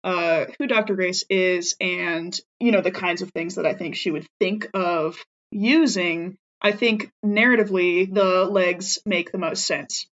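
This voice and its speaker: A woman playing a tabletop roleplaying game in casual improvised chat, animated and thinking aloud. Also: on the low side, a little slow, hardly any pauses, muffled recording.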